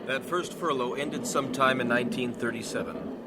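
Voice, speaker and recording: A man's voice: medium pitch, steady tone, fairly quick, very noisy. A man with an American accent giving scripted narration in the style of an old radio drama. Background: music.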